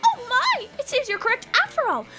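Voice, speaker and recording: A woman's voice: very high-pitched, huge pitch swings, brisk, over loud music, exaggerated voice. A woman voicing a haughty, pampered young princess with prim, lofty royal diction, read from a game. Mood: delighted. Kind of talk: reading game dialogue aloud.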